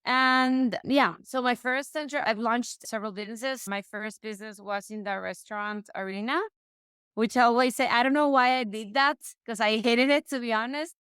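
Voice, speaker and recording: A woman talks through her career as a podcast guest, often breaking off and restarting her sentences. Her voice is very clean and smooth. It sounds dry and close, with no room sound at all. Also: on the high side, moderate pace, a bit bright, dry recording. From Mexico. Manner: chatty, frequent restarts and self-corrections. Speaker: guest, real estate investor. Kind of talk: casual interview conversation.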